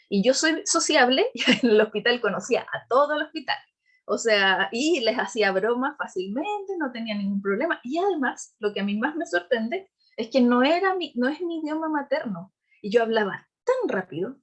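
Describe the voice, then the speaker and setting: A woman with a Chilean accent gives an informal talk as a patient, speaking candidly with frequent fillers and restarts. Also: a little high. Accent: Chilean Spanish. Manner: candid, laughs while telling her story. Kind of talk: informal presentation on a video call.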